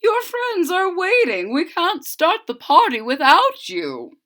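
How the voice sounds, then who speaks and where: Kindly storybook Mister Owl type, read by a woman: teacherly, reassuring, bright tone. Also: extremely high pitch, huge pitch swings, takes it slowly, voice pushed up, exaggerated voice. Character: kindly male owl schoolteacher, calm and reassuring.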